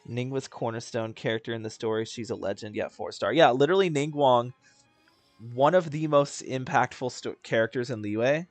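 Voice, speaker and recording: A young man thinks aloud over a video game, rambling and circling back on his words. His voice sits at an everyday middle pitch, with faint game music under it. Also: normal speed, no room sound. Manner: rambling, chatty, lots of fillers and restarts. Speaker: gaming video host. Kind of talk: casual gameplay commentary.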